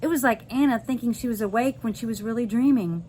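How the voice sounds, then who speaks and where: A woman reading a children's novel aloud with expressive, dramatic narration. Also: fairly high pitch, quick pace, slight background noise.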